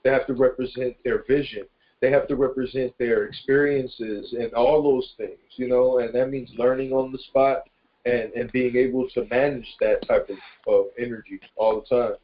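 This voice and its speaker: A man's voice: medium pitch, steady tone, moderate pace. A man talking casually on a conference call in an informal, affirming, rambling way about things in a motivational spirit. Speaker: participant in a self-improvement conference call. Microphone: conference call line. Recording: dull, lo-fi conference call audio.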